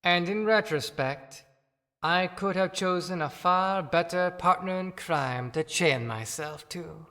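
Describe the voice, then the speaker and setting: In a long reverb, a man plays a sardonic husband freed from a bitter union. The delivery is slow and formal, with a bright edge, like a stage monologue for an audio play. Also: high voice, full character voice. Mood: bitter, wry. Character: bitter freed husband, wry and grandly wordy.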